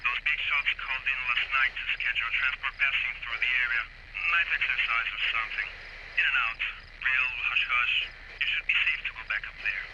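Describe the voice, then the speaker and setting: A man's voice: medium pitch, fairly even intonation, a little fast, highs cut off, very noisy, lightly acted. A man playing a laid-back small-town policeman talking over a two-way radio in a video game cutscene. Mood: casual.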